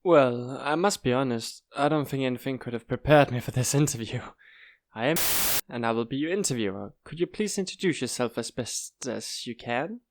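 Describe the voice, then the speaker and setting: A man playing a polite, amused school interviewer in an audio drama, courteous and measured. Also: mid-range voice, lightly acted. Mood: bemused. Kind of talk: audio drama character acting.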